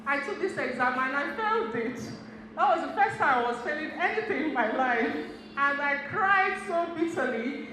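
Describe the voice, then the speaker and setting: A woman's voice: high-pitched, lively intonation, moderate pace, pushed and bright. A middle-aged Igbo woman, telling stories and speaking directly to a seminar audience, laughing often. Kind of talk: motivational talk to an audience. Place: community center hall. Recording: very echoey hall with noise.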